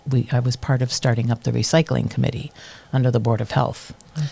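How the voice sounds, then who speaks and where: A woman's voice: extremely low pitch, fairly even intonation, quick pace, vocal fry, dark tone, slightly rough. A middle-aged woman from New Hampshire, a committee chair, answering interview questions casually and thoughtfully, with many ums and restarts.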